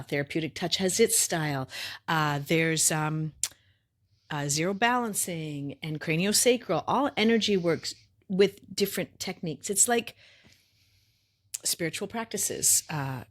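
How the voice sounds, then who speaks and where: A middle-aged woman co-hosting a podcast in casual chat, a little sniffly, explaining things in long chatty lists with asides. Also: fairly low pitch. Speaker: podcast co-host.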